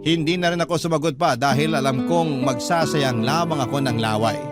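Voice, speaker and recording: A man with a Filipino accent reads a scripted first-person story as a radio drama narrator, in an expressive, sentimental way. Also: fairly high pitch, a little fast. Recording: loud guitar music underneath.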